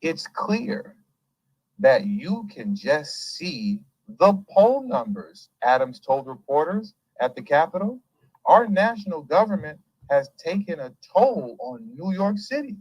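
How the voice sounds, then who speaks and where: A man's voice: fairly high pitch, sing-song, takes it slowly, no room sound, poor audio. Sex: man. Role: news commentary host. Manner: reads headlines, adds asides, dry close mic.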